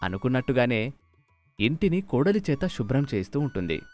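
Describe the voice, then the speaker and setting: A man's voice: medium pitch, sing-song, a little fast. A man reading scripted narration for a moral story. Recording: music behind the voice.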